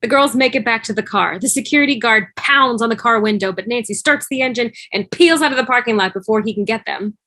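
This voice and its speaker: A woman's voice: on the high side, fast talker, hardly any pauses, pushed and bright. A woman reading scripted stage directions as narrator of a fan table read over a video call. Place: remote video call, music behind.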